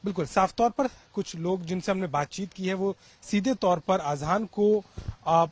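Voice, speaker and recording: A man's voice: high-pitched, normal speed, a little mellow. A male field reporter. He speaks into a microphone on location, moving between questions for locals and summing up for viewers. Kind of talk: street interview and news piece to camera.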